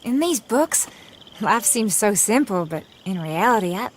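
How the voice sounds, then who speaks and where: An actress playing a gentle, wistful young frontier woman in a video game, speaking hesitantly and reflectively. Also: medium pitch, expressive, measured pace, slight background noise, natural voice. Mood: wistful, tender. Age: young adult. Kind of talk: naturalistic video game acting.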